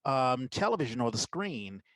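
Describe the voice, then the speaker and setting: Podcast host, male, middle-aged. Conversational and rambling, medium pitch, very dry acoustic. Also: big pitch swings, normal speed, a bit bright, dry recording. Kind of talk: casual interview conversation.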